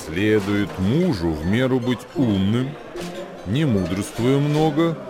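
A man playing a worldly sage who recites old wisdom verse for radio in a measured, declamatory way. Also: fairly low pitch, expressive. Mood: wry counsel. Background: music.

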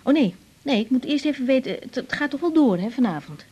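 An actress with a Netherlands Dutch accent playing a caring, chatty young doctor in a radio drama, conciliatory and coaxing in manner. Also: female voice, high voice, animated, fairly quick, darkish tone, husky, highs cut off. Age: young adult.

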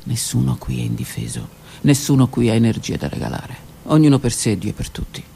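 A woman performing her own stage monologue, slow and dramatic, with pauses and hushed passages. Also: a bass voice, sing-song, creaky, a little hiss or hum. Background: music.

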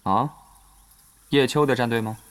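A man's voice: medium pitch, normal speed, a bit bright, smooth, natural voice. An actor playing a guarded young male gamer in an audio drama, speaking in a dry, understated, probing way. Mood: reserved. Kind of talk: Chinese audio drama acting.

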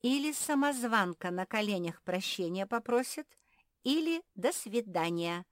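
A woman's voice: medium pitch, expressive, slow, no room sound, clean studio sound, voice pushed up. A woman voicing a haughty, vindictive female frog in a children's storybook, indignant and imperious. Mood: stern, haughty.

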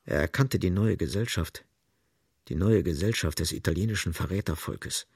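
An actor as the grave, ironic narrator of a radio play, delivering literary prose in a measured, detached manner. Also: male voice, low voice, gravelly, darkish tone, breathy edge, no room sound, clean studio sound, full character voice.

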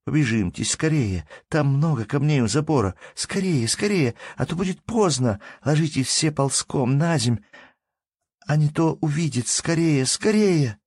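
An urgent little village girl, high and breathless, rushing her words with excitement, as a man puts her on. The voice sits well above a man's usual range and comes across dry and close. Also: slightly rough, no echo, full character voice. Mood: rousing.

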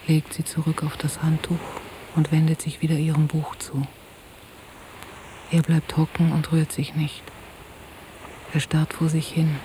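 An actress playing a troubled, soft-spoken woman in an intimate radio drama, often hushed to a near-whisper as she confides and narrates. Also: sits low, poor audio. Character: troubled, soft-spoken woman recounting an entangled love affair. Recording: hissy old cassette radio-play recording.

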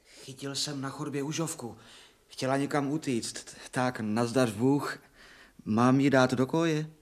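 A man's voice: mid-range voice, fairly even intonation, natural voice. An actor playing a loyal, deferential male servant in a radio drama, folksy and simple in manner. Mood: deferential, subdued.

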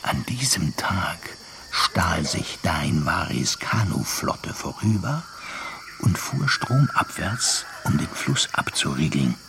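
A man narrating a literary radio play in a measured storytelling manner. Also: low-pitched, big pitch swings, gravelly, background noise, heavily acted. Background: music.

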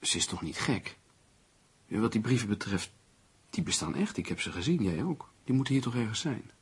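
A concerned, sceptical friend in a radio drama. His voice is lowish and very husky, lively in pitch, with an earnest questioning manner. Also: fairly quick, heavily acted. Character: concerned, sceptical male confidant defending a troubled woman. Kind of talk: Dutch radio play acting.

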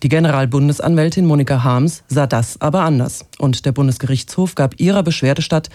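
A man's voice: on the high side, a little fast, a little mellow, no room sound, clean studio sound. A man with a standard German accent from Germany, presenting scripted radio news.

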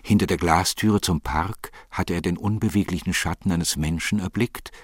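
A man with a husky voice narrating literary fiction in a measured, solemn manner. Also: deep voice, no room sound, studio quality.

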